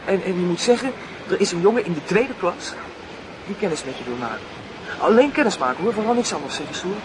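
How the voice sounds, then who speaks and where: A high-pitched, earnest teenage boy in a radio drama. He is lovestruck and nervous, hesitating and then insisting on every detail. Clear ambient noise surrounds the voice. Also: very animated, fast talker. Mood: anxious, insistent. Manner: insistent, hesitant, repeats instructions.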